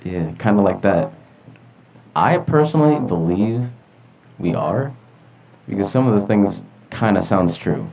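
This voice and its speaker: A man's voice: fairly low pitch, lively intonation, measured pace. A young man chatting casually as a panelist, in an informal way peppered with "like". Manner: informal, frequent "like". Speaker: talk panelist. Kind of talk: casual chat. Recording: dull lo-fi audio with music behind.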